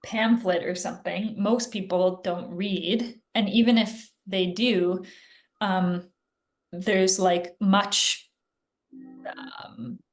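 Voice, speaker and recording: A woman physician answering interview questions in a casual, chatty way, often pausing with "like" and "um", heard over a video call. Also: medium pitch, steady tone, faint music behind. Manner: chatty, filler-heavy, frequent "like" and "um". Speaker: guest physician.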